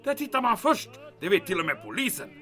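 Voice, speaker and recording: Nervous secretive bureaucrat muttering to himself, highish lively voice, bit of music under. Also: male voice, full character voice. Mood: anxious scheming. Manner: neurotic, talks to himself, exclaims. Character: fretful, secretive male official muttering paranoid monologue.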